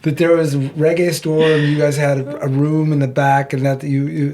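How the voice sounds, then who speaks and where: A man's voice: a little high, flat delivery, normal speed, a little mellow. A man hosting a podcast, asking curious, probing questions and often restarting mid-sentence. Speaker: podcast host. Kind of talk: conversational interview.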